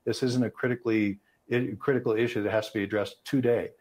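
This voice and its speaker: Older male analyst, American accent, sixties, husky mid-pitched voice, expert news commentary, video call from a room. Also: fairly even intonation, fast, a little mellow. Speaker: aviation safety analyst.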